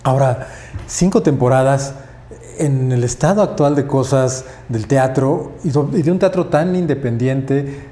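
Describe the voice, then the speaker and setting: A man's voice: mid-range voice, a little slow, dark tone, roomy sound. A man hosting a podcast interview, attentive and affirming. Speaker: podcast host. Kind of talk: interview conversation.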